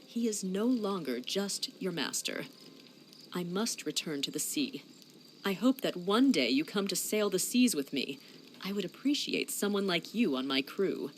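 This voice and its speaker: Composed, expressive lady sea captain. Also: on the low side, a little hiss or hum, rough recording. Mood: fond. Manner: measured, formal. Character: composed seafaring noblewoman captain, female. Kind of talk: fantasy game cutscene acting.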